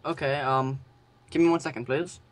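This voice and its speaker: A young man on a call, asking halting, stumbling questions as a customer. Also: mid-range voice, fairly even intonation. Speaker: prank caller. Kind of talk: hesitant phone conversation. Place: online voice call.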